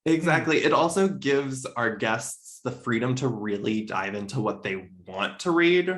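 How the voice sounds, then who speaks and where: A man's voice: mid-range voice, expressive, a little slow, a bit bright. A man speaking casually in an interview, explaining things with enthusiasm as a podcast co-host. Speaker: podcast co-host appearing as guest.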